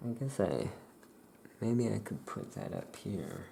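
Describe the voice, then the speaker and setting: A soft-spoken ASMR performer playing a laid-back witch shopkeeper, murmuring in an unhurried way while writing. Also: deep voice, huge pitch swings, vocal fry. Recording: roomy echo, faint background noise.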